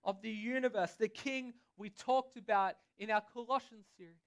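An Australian man gives a sermon in an easygoing, conversational manner. He talks in short bursts, leaving room after each one for an interpreter. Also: sits high. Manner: casual, chatty, pauses for translation. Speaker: pastor.

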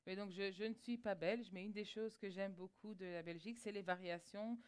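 A woman's voice: medium pitch, steady tone, moderate pace, a little mellow, clean tone. A woman interpreting a sermon live, often hesitating and repeating small words as she searches for the right phrasing.

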